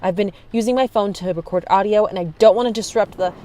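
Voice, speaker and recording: A woman's voice: mid-range voice, fast talker, mellow, slight background noise, lightly acted. A woman playing an investigative interviewer who records as danger unfolds, in an audio drama. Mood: urgent, uneasy.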